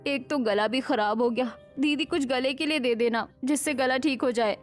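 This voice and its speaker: A woman playing a young daughter-in-law in an animated family story, with expressive domestic melodrama. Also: sits high, moderate pace, faint music behind, lightly acted. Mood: relieved.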